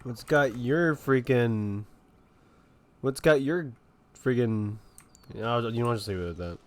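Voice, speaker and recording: A man giving casual, halting gameplay commentary, often repeating himself. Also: medium pitch, slight background noise. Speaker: video game streamer.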